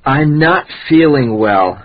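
Man, raspy voice, dry close sound, lo-fi, scripted phrases. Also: fairly high pitch, animated, takes it slowly, no echo. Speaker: lesson reader. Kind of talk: natural-speed scripted phrase reading.

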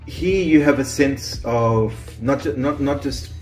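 A man's voice: mid-range voice, a little slow, roomy sound, soft background music. A man who grew up in Australia, hosting a casual chat about small-town life, rambling with lots of restarts and ums. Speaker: vlog host.

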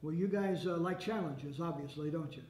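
An older man hosting a radio interview at a hotel, asking questions in a halting way with many restarts. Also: a little high, a little mellow. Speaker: radio host. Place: hotel meeting room by windows. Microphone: desk microphone, headphones. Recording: roomy with faint ambient noise.

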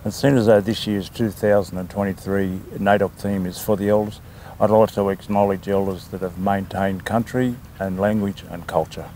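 An older Australian man from New South Wales, a land council chairman answering interview questions in a talkative, unhurried way with frequent ums. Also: on the low side, steady tone, dark tone, slight background noise. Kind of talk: casual interview.

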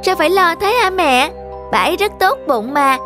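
A woman's voice: extremely high pitch, animated, normal speed, over music. A woman playing an innocent, curious little schoolgirl in a cartoon, polite and earnest. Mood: earnest, pleading. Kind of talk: dubbed cartoon dialogue.

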